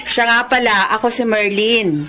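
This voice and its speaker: A woman playing a kindly, brisk market stall boss in a Tagalog radio drama, with a Filipino accent and a friendly, matter-of-fact manner. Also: a little high, bright, forceful tone. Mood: amused, welcoming.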